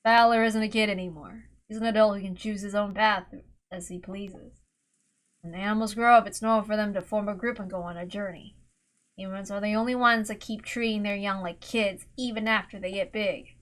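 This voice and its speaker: A woman's voice: medium pitch, steady tone, brisk, close to an everyday voice. Lady reading as a scheming cynical older brother type gunman in a murder story, offhand and sly. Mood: brooding. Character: scheming, dismissive middle-aged male killer with a gun.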